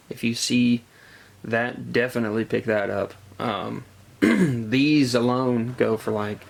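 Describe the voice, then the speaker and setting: Congested, gravelly low-voiced man. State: congested from a cold. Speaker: comic book collector presenting a haul. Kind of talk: casual show-and-tell vlog chat.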